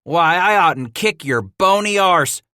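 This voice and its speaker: A man's voice: sits high, expressive, takes it slowly, bright, forceful tone, no room sound, studio quality, pitched above the performer's own voice, exaggerated voice. A man voicing a boisterous frontier trapper who brags and bellows in a thick backwoods drawl. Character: boisterous rowdy male mountain man, loud braggart.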